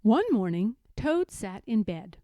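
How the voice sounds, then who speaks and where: A woman narrating a children's story as a read-aloud, with expressive, deliberate delivery. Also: medium pitch, dry recording, clean studio sound. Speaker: storybook reader.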